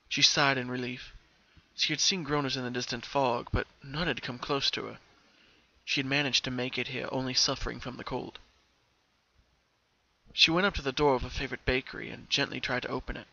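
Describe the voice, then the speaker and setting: A narrator giving an expressive, careful dramatic reading of a story, as in an audiobook recorded at home. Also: mid-range voice, a little fast, lo-fi sound.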